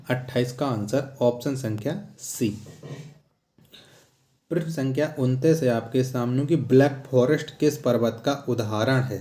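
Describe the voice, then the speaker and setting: A man's voice: mid-range voice, dark tone. A man teaching a quiz lesson as an exam-prep teacher, in a didactic way that repeats questions and answers. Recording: echoey, roomy sound.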